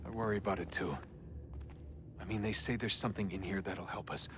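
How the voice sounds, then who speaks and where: A man's voice: low voice, fairly quick, noisy background, close to an everyday voice. An actor with an American accent playing a troubled, earnest young man in a video game, speaking in a hesitant, understated way. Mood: pensive. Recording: music behind the voice.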